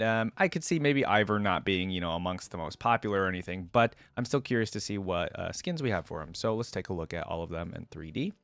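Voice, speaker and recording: Male reaction host, lowish register, informal and conversational, thinking out loud, close dry sound. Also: clear, resonant tone, no echo. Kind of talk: casual reaction commentary.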